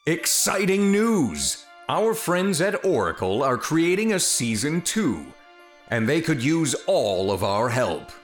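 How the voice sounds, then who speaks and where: A man hosting a podcast, reading a scripted promotional introduction with deliberate, emphatic phrasing. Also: a little high, sing-song. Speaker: podcast host introducing a show. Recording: roomy sound with music underneath.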